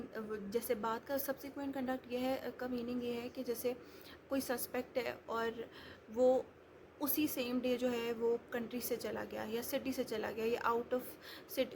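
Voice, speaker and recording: A woman's voice: a little high, fairly even intonation, measured pace. A woman explaining law step by step, many hesitations and restarts. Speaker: law lecturer. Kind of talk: explanatory lecture. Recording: noisy background hiss.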